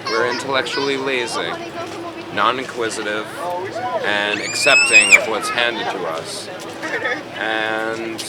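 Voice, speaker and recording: A man from Providence, speaking slowly and deliberately in a wordy impromptu speech outdoors. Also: medium pitch. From Providence, Rhode Island, USA. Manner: slow, deliberate, wordy, rising to emphasis. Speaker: activist speaking to camera. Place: outdoors on a city street. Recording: loud street noise outdoors.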